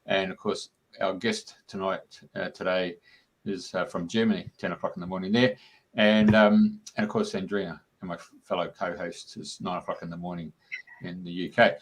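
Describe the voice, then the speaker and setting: Male radio host, lowish voice, loose intro full of ums. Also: fairly even intonation, moderate pace, poor audio. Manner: loose, filler-heavy introduction. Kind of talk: show opening.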